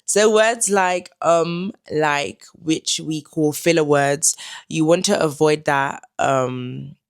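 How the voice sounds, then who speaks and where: A woman's voice: sits low, slow pace, smooth. Young woman giving advice. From Netherlands. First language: Dutch. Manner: calm and instructive, advising the viewer directly. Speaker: lifestyle vlogger. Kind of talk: advice vlog talking to camera.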